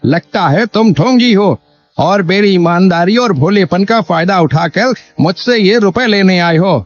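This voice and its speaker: Slow, sly greedy village landlord played by a man. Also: sits high. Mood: angry, scornful. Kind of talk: Hindi cartoon moral story dub. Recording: dull lo-fi audio with background music.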